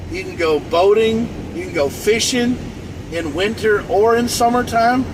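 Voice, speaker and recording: A man in his forties from North Dakota, hosting a live stream in a rambling, repetitive casual monologue, often restarting and repeating phrases. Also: high-pitched. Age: 40s. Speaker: livestream host. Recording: noisy outdoor traffic behind. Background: car and street noise.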